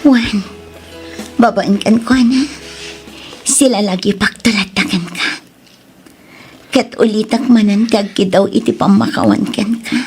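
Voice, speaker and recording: A woman acting a remorseful, tender young woman in an Ilocano romance drama, speaking with emotional, halting pleading and an Ilocano accent over music. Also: mid-range voice, a little mellow, lightly acted. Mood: gentle, grateful. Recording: music bed under the voice.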